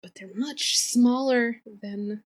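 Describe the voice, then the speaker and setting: A woman's voice: fairly high pitch, slow. A woman co-hosting a podcast in casual chat, with a playful, irreverent and sweary way of talking. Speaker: podcast co-host.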